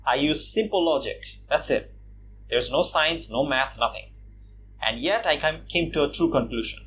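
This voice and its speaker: A man talks through a casual rebuttal video, his voice sitting a little high, with a faint hiss of background noise behind him. He scoffs and chuckles at the person he is answering and leans on fillers like "uh" and "you know" as he thinks aloud. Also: lively intonation, moderate pace, a bit bright. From Bangladesh. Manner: mocking, laughs at opponent, many fillers. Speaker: YouTube commentator. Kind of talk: casual response commentary.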